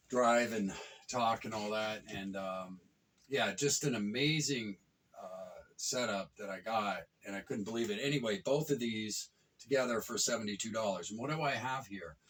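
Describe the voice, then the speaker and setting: A man's voice: lowish voice, a bit bright, far from the mic. A man giving a casual, enthusiastic product review, rambling and repeating himself for emphasis.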